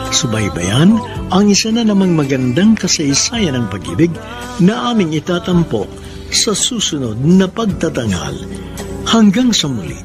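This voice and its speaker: A man's voice: fairly high pitch, huge pitch swings, unhurried. A man hosting a radio drama, giving scripted advice in a solemn, formal, oratorical manner. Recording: faint music bed.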